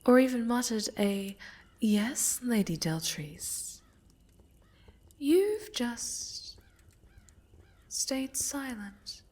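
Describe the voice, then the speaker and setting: A haughty necromancer lady, played by a woman, speaks softly in a fantasy ASMR roleplay. Her voice is breathy and often sinks to a whisper. She takes her time over each phrase, silky and condescending, with sinister sweetness. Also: medium pitch. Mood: cold, suspicious. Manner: condescending, silky, menacing, drawn-out. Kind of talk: soft-spoken roleplay ASMR, scripted character acting.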